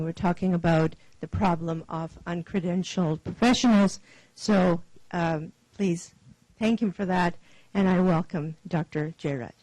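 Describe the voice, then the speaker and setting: A woman's voice: lowish voice, mellow, dry recording, low-quality recording. Woman introducing a speaker, dry sound. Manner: hesitant, many fillers and restarts. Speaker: conference moderator.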